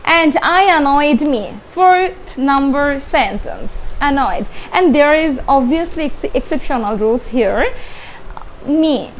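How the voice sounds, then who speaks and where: A young adult Bengali-speaking woman with a Bangladeshi accent, explaining grammar step by step as a teacher, halting and repeating key words with emphasis. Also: high voice. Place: small indoor room with whiteboard. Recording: steady background room noise.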